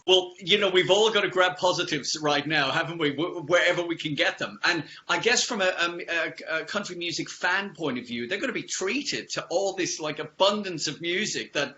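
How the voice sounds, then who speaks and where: A man's voice: fairly high pitch, normal speed, few pauses, sharp tone, highs cut off, slight background noise. A man working as a radio interviewer, chatty and upbeat, often ending his remarks by checking for agreement. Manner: upbeat, chatty, tag questions.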